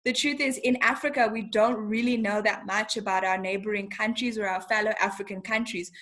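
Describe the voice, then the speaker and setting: A woman's voice: medium pitch, fairly bright tone. A young woman from Zimbabwe, a TV host talking as an interview guest, speaking frankly and animatedly with casual slang.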